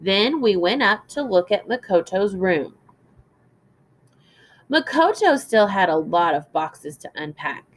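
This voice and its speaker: A woman reads to young children as a teacher would in a lesson. Her pitch moves up and down in a lively way, and she takes her time with each phrase. The delivery is deliberate and instructive. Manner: instructive, deliberate, prompting listeners with questions. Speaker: teacher reading a story aloud. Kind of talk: read-aloud lesson for young children with explanations and questions.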